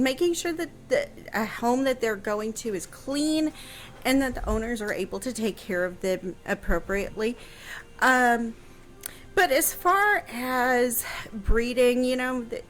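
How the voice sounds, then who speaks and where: Chatty woman answering history questions. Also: on the high side. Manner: chatty, many fillers and restarts. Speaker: history question guest on radio show. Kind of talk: casual radio chat.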